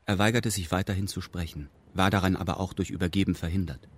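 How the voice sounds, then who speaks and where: An actor playing a detached first-person narrator in a radio drama, speaking in formal, pedantic, deadpan prose. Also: male voice, low-pitched, fast talker. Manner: formal, deadpan, stilted indirect speech.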